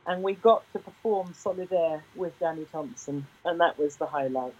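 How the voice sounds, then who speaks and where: A woman in her sixties, a singer with a deep baritone voice, telling stories in an interview with many restarts. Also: normal speed, a little mellow, breathy edge, highs cut off. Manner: rambling, with frequent restarts. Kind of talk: relaxed conversational storytelling.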